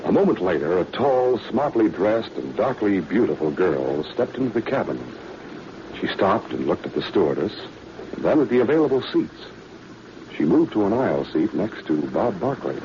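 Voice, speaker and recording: A male narrator of a 1940s radio drama, telling the story dramatically. His deep voice swings expressively, on a dull vintage recording with faint noise. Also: sing-song, moderate pace.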